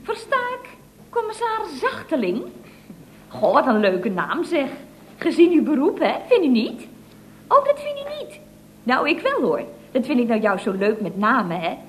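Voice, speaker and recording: High, swooping, chatty secretary. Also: female voice, sing-song, moderate pace. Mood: cheerfully chatty. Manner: chatty, sing-song, full of tag questions. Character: chatty, indignant single female secretary, feisty and quick-tempered. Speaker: secretary character in a radio comedy serial. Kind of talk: 1970s radio comedy acting. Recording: old radio recording with slight noise.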